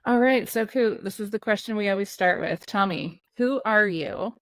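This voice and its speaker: A woman's voice: medium pitch, moderate pace, no room sound. A woman hosting a podcast, delivering scripted introductions and questions to her guest. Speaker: podcast host.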